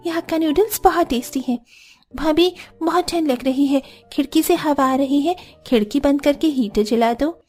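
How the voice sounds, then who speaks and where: A woman's voice: high voice, moderate pace, mellow, lightly acted. A woman playing a girlish, carefree young sister-in-law in a cartoon, coaxing and demanding with exclamations. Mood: gleeful. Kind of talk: Hindi cartoon story dialogue.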